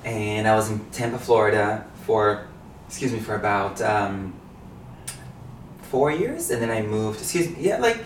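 A man from Brazil, a podcast guest in his twenties telling his story in a relaxed way with many hesitations. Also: on the low side, moderate pace. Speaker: podcast guest, meditation teacher. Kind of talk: casual interview. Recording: echoey, distant mic, faint noise.

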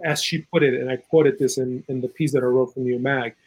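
A man from South America giving earnest, opinionated political commentary in a conversational way, with a husky voice and plenty of "you know". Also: medium pitch, fairly even intonation, brisk, darkish tone, poor audio. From South America, Chile. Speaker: interview guest, political and legal commentator.

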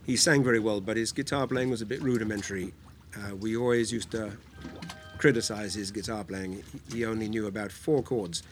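Relaxed man telling stories. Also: fairly low pitch, brisk, faint music behind. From England, near London. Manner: relaxed, rambling storyteller. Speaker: guitar teacher. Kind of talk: podcast conversation. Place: on a boat in a harbour.

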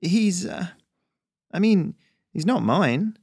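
A man's voice: sits high, very animated, takes it slowly, gravelly, darkish tone, slightly rough, dry recording, clean studio sound, voice pushed up, exaggerated voice. A man voicing a puzzled, indignant young farm lad in an audio story. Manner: incredulous, earnest, plain.

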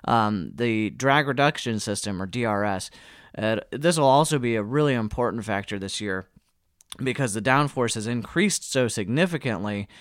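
A man's voice: medium pitch, animated, moderate pace, dry recording, clean studio sound. An American man with a cold and a slightly wheezy edge, hosting a podcast news rundown with wry, casual asides. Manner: wry, tongue-in-cheek. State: fighting a cold. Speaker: podcast host.

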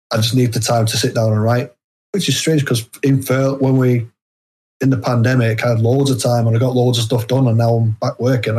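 A man's voice: medium pitch, a little mellow. A man from the UK with a British accent, speaking as an interview guest in a casual, hesitant, self-deprecating way. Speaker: guest, indie horror author.

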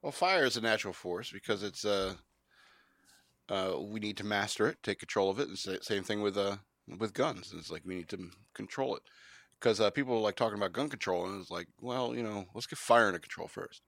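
A man's voice: lowish voice, fairly quick, fairly bright tone, dry recording, studio quality. A man hosting a podcast, rambling and joking in casual banter. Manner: rambling, jokey, self-promoting. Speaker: podcast host.

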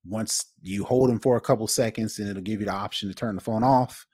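A man's voice: lowish voice, steady tone, fairly quick, a little mellow, no room sound, clean studio sound. A man co-hosting a tech podcast in casual chat, explaining things informally. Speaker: podcast co-host.

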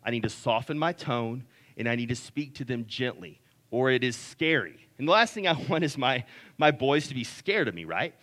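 A man's voice: animated, normal speed, a bit bright. A man, preaching a conversational sermon that is full of restarts and "right?" checks. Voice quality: deep voice. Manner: conversational, repeats words, frequent "right?". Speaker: pastor.

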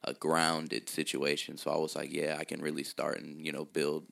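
A man's voice: rumbling low, monotone, moderate pace, gravelly, a bit bright. A young man from Virginia, a music producer guesting on a podcast, talking in a laid-back, rambling way and swearing freely. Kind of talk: casual podcast chat.

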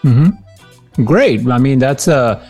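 Hesitant man hosting a podcast, mellow voice. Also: mid-range voice, animated, extremely slow, slightly rough, faint music behind. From Peru. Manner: hesitant, many fillers and restarts. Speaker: podcast host. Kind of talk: casual interview chat.

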